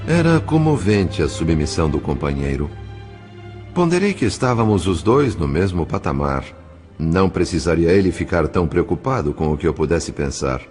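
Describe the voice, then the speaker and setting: Man as deep-voiced, solemn spirit counsellor. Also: big pitch swings, a little mellow, soft background music. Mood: reflective, narrating. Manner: measured, solemn, earnest. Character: calm, wise male spirit counselling his friend. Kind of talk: dramatised audio novel dialogue and narration.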